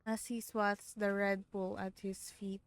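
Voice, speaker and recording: Slow-paced Filipino woman streaming. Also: mid-range voice, little pitch movement. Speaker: game streamer. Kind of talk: livestream game playthrough, reading text aloud. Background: game music.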